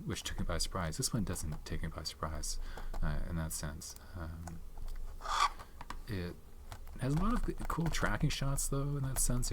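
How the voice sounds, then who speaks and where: A man talks softly and close to the mic in a very low voice, rambling through his thoughts with lots of fillers and restarts. Tapping and clicking sit in a clearly noisy background. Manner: soft-spoken, rambling with many fillers and restarts. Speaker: ASMR channel host reviewing his film collection. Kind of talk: soft-spoken ASMR chat.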